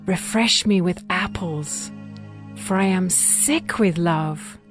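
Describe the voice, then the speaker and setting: An actress playing a tender, devoted young bride in a dramatized scripture reading, with measured, poetic delivery. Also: female voice, lowish voice, soft background music. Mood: tender, adoring.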